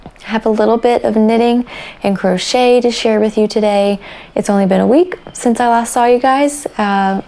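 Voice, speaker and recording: A young woman hosting a knitting podcast, chatting casually and relaxed, her voice raspy after a night of coughing. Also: fairly high pitch, moderate pace. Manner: chatty and relaxed, with fillers and small laughs. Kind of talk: casual chat about crafts.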